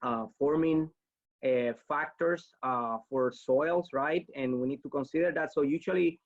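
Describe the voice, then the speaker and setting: Gravelly, slightly high instructor, halting, lo-fi webinar line. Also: male voice. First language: Spanish. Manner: halting, many restarts, self-deprecating. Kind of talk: answering audience questions in a live webinar.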